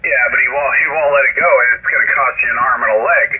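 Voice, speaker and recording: Very loud radio noise sits around a tinny, narrow-band male voice. He is a mid-pitched amateur operator who banters casually with a salty, teasing streak. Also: steady tone, fast. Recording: very noisy tinny shortwave radio.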